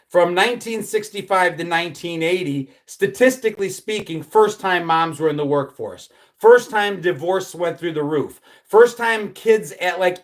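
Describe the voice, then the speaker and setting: A man in his fifties from the United States hosting a live stream, chatting casually, reading out viewer comments and rambling with many restarts. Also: high voice. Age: 50s. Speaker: livestream host.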